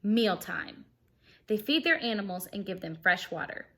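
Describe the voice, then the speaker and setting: Expressive woman teacher reading aloud to children. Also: mid-range voice, moderate pace. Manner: expressive, simple explanations addressed to young pupils. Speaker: elementary school teacher reading a picture book aloud. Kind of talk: children's read-aloud with questions to listeners.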